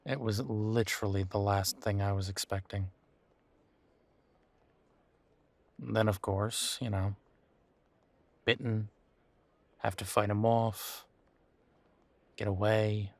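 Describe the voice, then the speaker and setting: A man playing a bitter, wry young werewolf in an audio roleplay, talking haltingly and sardonically as if in intimate one-sided conversation. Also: fairly low pitch, little pitch movement, vocal fry. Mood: wry recounting. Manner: halting, sardonic, conversational with sighs and repeated words.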